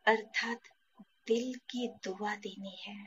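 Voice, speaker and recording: A woman from India guiding a meditation, in short, measured phrases with pauses between them. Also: medium pitch, fairly even intonation, a little hiss or hum, low-quality recording. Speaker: guided meditation teacher. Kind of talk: slow guided meditation. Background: background music.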